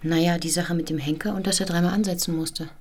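A woman's voice: fairly low pitch, steady tone, quick pace, a little mellow. An actress playing a polite, deferential woman assistant in a German radio play. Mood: polite, subdued.

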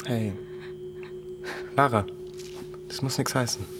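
A man's voice: medium pitch, takes it slowly, background noise, lightly acted. An actor playing an easygoing, loyal teenage boy who talks in a casual, wry, joking way in a naturalistic radio drama. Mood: reassuring. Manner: casual, wry, youth slang.

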